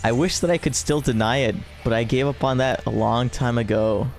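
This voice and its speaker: Gravelly man hosting a gaming video. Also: medium pitch, a little fast, slight background noise. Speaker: gaming video host reading a visual novel aloud. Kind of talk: casual gameplay chat with friend, read-aloud narration.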